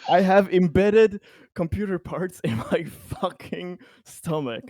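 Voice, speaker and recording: A man playing a tabletop game, chatting casually in an animated, joking, foul-mouthed way. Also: sits high, takes it slowly, dry recording.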